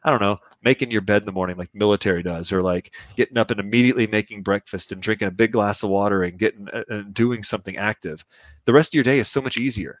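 Low-voiced opinionated man in his thirties. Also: quick pace, no echo. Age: 30s. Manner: opinionated, emphatic, rambling. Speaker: podcast guest. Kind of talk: casual conversational podcast chat. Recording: dull, dry lo-fi podcast audio.

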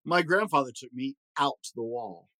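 A man's voice: mid-range voice, lively intonation, normal speed, sharp tone, no room sound. A man hosting a read-aloud, telling a rhyming children's story in a theatrical, sing-song way. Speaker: host reading a children's book aloud.

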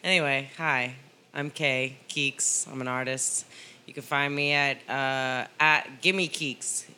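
A woman's voice: extremely low pitch, steady tone, slow, pushed and bright, clean tone. A woman performer chatting in a jokey, informal way as a guest on a podcast. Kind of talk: casual podcast chat.

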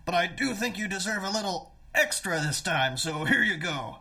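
Raspy, high-pitched scheming showbiz manager. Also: male voice, expressive, moderate pace, sharp tone, heavily acted. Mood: smug, scolding. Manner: wheedling, sarcastic, bossy. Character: scheming, blustering music manager, sometimes disguised as oily foreign label man. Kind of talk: comedic radio play acting.

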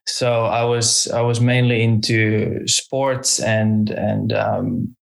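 Guy, photographer guest, slow and hesitant, keeps restarting. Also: on the low side, little pitch movement, gravelly. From Finland. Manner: hesitant, many restarts and fillers. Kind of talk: casual interview talk.

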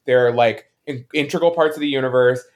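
A man's voice: medium pitch, a little fast. A man co-hosting a podcast, chatting casually in an irreverent, joking, sweary way. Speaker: podcast host.

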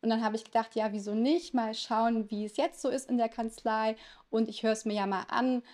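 A woman in her thirties who grew up in Lower Saxony speaking Italian first, answering interview questions as a lawyer in a chatty way with frequent hesitation fillers. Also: fairly even intonation, a little fast, darkish tone. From Lower Saxony, Germany. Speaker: lawyer, podcast guest.

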